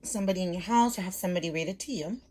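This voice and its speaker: A woman's voice: on the low side, rapid-fire, darkish tone. A woman teacher giving a casual lesson to children, explaining simply and checking in with short questions.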